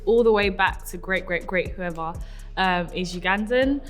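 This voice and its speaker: A woman's voice: on the low side, moderate pace, a bit bright, soft background music. A woman with an East London upbringing and a London accent, talking casually about herself in an interview. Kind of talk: casual personal talk.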